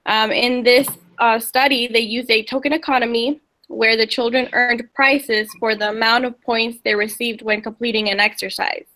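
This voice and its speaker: Bright-voiced young woman presenting research. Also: on the high side, steady tone, normal speed, few pauses, low-quality recording. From Indiana. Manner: hesitant, reading summaries from notes. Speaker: student presenter. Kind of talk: group class presentation of research.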